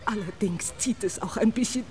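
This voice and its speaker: A woman's voice: medium pitch, moderate pace, background noise, close to an everyday voice. A woman playing a prim, easily frightened little doll-like creature in a children's radio drama, theatrical and old-fashioned in speech, prone to wailing and stammering. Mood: haughty, refined.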